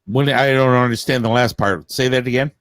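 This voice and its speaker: A man's voice: mid-range voice, fairly quick, a bit bright, dry recording, studio quality. A man hosting a casual group debate, pushing back with pointed questions. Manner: challenging, questioning.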